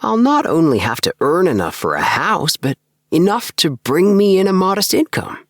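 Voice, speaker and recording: A man voicing an excitable, earnest young dreamer in an audiobook, with an emphatic, self-satisfied manner. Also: high-pitched, moderate pace, no echo, clean studio sound, pitched above the performer's own voice. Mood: eager, triumphant. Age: young adult. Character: excitable young dreamer, eager, earnest, obsessed with house plans. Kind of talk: acted audiobook dialogue.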